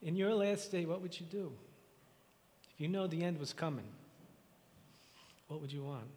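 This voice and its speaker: A man with a raspy voice giving a halting, emotional memorial address and reading scripture in a church. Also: on the high side, a little mellow, big-room sound. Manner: halting, tearful, repeats words. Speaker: speaker at a memorial service.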